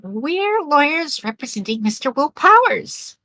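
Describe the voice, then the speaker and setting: A woman plays a young, eager game sidekick girl with a high, bright voice. She is quirky and naive, and her pitch bounces around freely over game music. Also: big pitch swings, a little slow, exaggerated voice. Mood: earnest. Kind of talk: amateur group voice-over of a video game.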